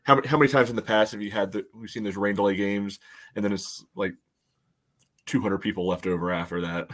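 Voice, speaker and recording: A man with a fairly low voice co-hosts a sports podcast. He talks in a casual, conversational way, with informal phrasing. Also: fairly even intonation, a little fast.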